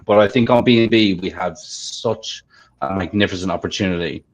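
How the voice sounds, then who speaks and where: A man's voice: low voice, moderate pace. A man hosting a casual discussion, chatty, often checking for agreement. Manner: chatty, asks for agreement with "right?". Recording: dull lo-fi audio.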